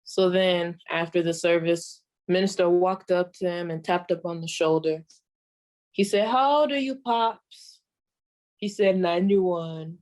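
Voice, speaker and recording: A woman playing a sassy old comedienne griping about her ancient husband, in the deadpan, drawn-out style of a 1940s radio stand-up monologue. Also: lowish voice, lightly acted. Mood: dry teasing. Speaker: comedienne performer.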